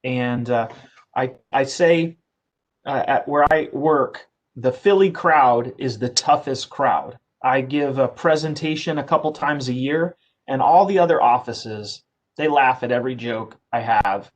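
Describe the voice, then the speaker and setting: A man's voice: mid-range voice, a little slow. A man hosting an interview who chats in a friendly way and reads out the guest's background. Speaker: interviewer, council host. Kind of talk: casual interview chat.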